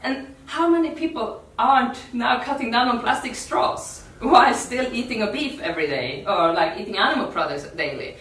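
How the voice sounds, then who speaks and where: A woman from Norway with a Norwegian accent gives an informal workshop talk in non-native English, halting and repeating words. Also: a little high, animated, a bit bright, slight background noise. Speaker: activist workshop speaker. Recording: echoey room, distant mic.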